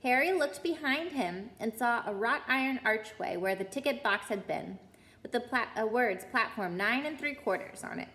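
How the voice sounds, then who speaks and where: A woman reads a storybook aloud to children. Her voice is fairly high, with a very lively melody that rises and falls expressively. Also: normal speed.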